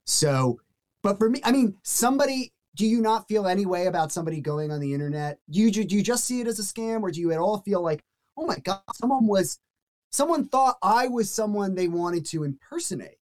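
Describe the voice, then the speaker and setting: A man with a fairly high-pitched voice, hosting a casual interview podcast and asking rambling, animated questions. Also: brisk. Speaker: podcast host.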